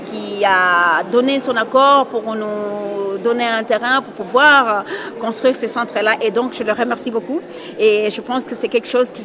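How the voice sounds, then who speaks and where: A woman author answering interview questions hesitantly, with many fillers. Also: a little high. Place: indoor book fair hall. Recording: busy crowd chatter behind.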